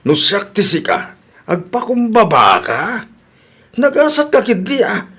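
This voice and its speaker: A man's voice: high-pitched, wildly swooping pitch, unhurried, fairly bright tone, breathy edge, exaggerated voice. A man playing a plain-spoken, advising husband and father in a radio comedy drama, with an Ilocano Filipino accent.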